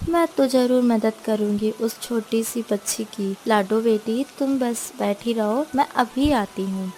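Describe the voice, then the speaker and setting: A woman's voice: on the high side, unhurried, a little mellow, a little hiss or hum, lightly acted. A woman voicing a kind, hopeful mother bird in a cartoon story, reassuring and earnest. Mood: concerned, resolute. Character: kind, hopeful mother sparrow, caring leader.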